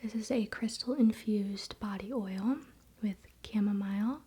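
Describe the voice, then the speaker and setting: A woman whispering breathily in an unhurried, confiding ASMR style, speaking directly to the viewer. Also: mid-range voice, warm tone, husky. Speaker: ASMR video creator.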